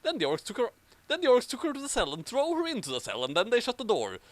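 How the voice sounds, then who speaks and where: A man's voice: extremely high pitch, wildly swooping pitch, fast, dry recording. A man reading an amateur story aloud and adding mocking commentary. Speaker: reader and commentator.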